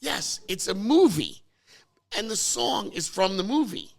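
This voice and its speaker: A man hosting a casual talk show and bantering with his co-host in a car service center. He is losing his voice and gets excitable, and his pitch jumps up when he argues. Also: unhurried, creaky. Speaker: podcast host.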